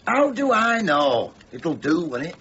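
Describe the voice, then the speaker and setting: Testy, teasing storyteller, sharp and high, radio drama with dulled sound. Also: male voice, sing-song, unhurried, exaggerated voice. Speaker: narrator. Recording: dull radio recording, faint noise.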